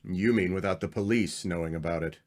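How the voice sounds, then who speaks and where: A man's voice: low-pitched, brisk, a little mellow. A man acting an earnest, uneasy husband in an old-time radio mystery, questioning and hesitant. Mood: startled, protesting.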